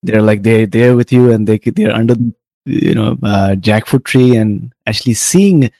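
A male podcast host from Canada asks his guest reflective questions, warmly encouraging him with small affirmations. His voice sits at a middle pitch. The recording is dry, with little room sound. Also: moderate pace, no room sound. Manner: encouraging listener with frequent short affirmations. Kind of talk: interview questions.

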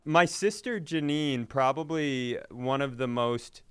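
A man's voice: mid-range voice, slow, fairly bright tone, clean tone, no echo. A man in casual comedic chat, telling stories in a profane, exasperated way. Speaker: comedy podcast host.

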